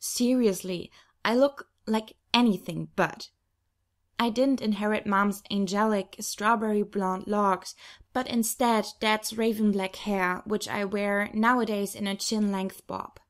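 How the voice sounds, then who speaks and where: Woman reading fiction expressively, mid-pitched. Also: unhurried. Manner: expressive storytelling. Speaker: audiobook reader. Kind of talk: scripted first-person fiction narration.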